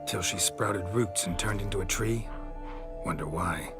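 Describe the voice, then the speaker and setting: Gruff, laconic hunter for a fantasy game, low gravelly mutter, deadpan, heavy score underneath. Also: male voice, a little slow. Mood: dry skepticism. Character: stoic, gruff male monster hunter examining clues. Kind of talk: video game character acting. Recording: game music behind the voice. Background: loud game music.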